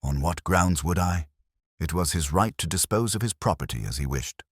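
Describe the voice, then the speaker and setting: A man's voice: low voice, lively intonation, moderate pace, slightly rough, no echo, studio quality. A man voicing a guarded, composed Victorian woman with formal, evasive speech in an audiobook story. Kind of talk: audiobook character dialogue.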